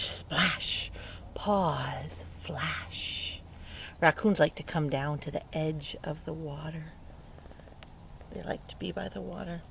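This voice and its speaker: Outdoor ambient noise surrounds a woman reading a picture book aloud for small children. Her delivery is slow and very lively, with big swings in pitch. She is playful, stops to ask the children questions and acts out the sound words. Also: creaky. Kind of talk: interactive read-aloud for young children. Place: outdoors by a lake. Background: outdoor lakeside ambience.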